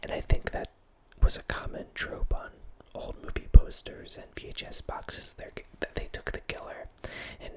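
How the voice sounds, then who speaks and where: A man in his thirties hosting a whispered ASMR ramble, speaking softly right into a close studio microphone in a small home room, with lots of self-corrections. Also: normal speed, hardly any pauses. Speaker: ASMR channel host. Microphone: close studio microphone, wearing headphones.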